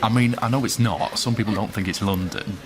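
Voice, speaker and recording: The voice is low and deep, a man playing a wry, self-deprecating Yorkshireman in his thirties, with a dry, teasing way of talking. He is recorded for a radio drama, with steady noise behind him. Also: a little fast, lightly acted.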